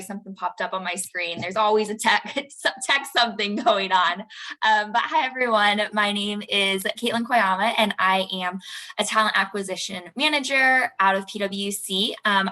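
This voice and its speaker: A woman campus recruiter speaking casually on a video-call panel, friendly and relaxed. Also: medium pitch, normal speed. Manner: friendly, relaxed, laughs lightly.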